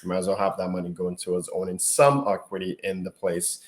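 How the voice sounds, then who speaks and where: Low-voiced guy chatting about the market, halting, repeats himself a lot. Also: level tone. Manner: halting, repeats words while thinking. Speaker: realtor co-host. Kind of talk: casual reaction chat.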